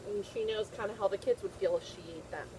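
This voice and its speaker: A woman's voice: medium pitch, fast talker, warm tone, slight background noise, low-quality recording. A woman teacher reading a picture book aloud to young children, repeating phrases and checking in with simple questions.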